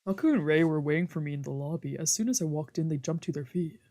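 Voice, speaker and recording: A woman's voice: sits low, quick pace, warm tone. A woman streamer reading a visual novel aloud in a playful, theatrical way with casual asides. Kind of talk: let's play read-aloud with casual asides.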